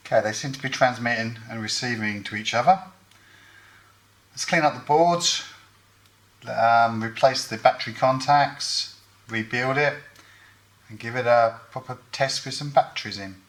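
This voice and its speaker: A man's voice: mid-range voice, normal speed, pauses often, fairly bright tone, a little hiss or hum. A man giving hands-on repair commentary as host, thinking aloud in short, matter-of-fact phrases.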